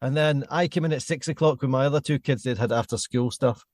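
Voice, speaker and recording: A man's voice: medium pitch, fast talker, darkish tone, dry recording. Chatty Glaswegian man hosting a podcast. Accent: Glaswegian, softened by years in England. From Scotland. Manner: chatty, self-deprecating, rambling anecdotes. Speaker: podcast host. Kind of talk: casual podcast chat.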